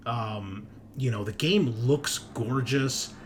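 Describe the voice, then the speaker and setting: A man's voice: mid-range voice, slow, slight background noise. A man hosting a tabletop roleplaying show, talking casually and bluntly with swearing and emphatic tags like "right?". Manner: ranting, emphatic, punctuating with "right?" and "okay?". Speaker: host and game master of a tabletop roleplaying show. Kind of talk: casual, profane opinionated chat.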